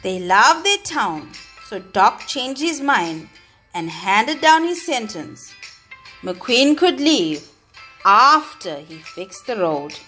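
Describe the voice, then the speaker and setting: A woman reads a story aloud for young children in a high-pitched voice. Her delivery is slow and deliberate, with expressive, swooping emphasis on key words. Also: faint music behind. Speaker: storybook reader.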